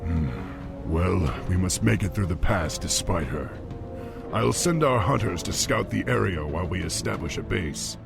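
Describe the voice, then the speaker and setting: A man playing a stern, commanding young orc war chief in a video game, with a husky, gravelly voice and a firm, authoritative manner. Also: sits low, lively intonation, moderate pace, over music, full character voice. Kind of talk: dramatic video game acting.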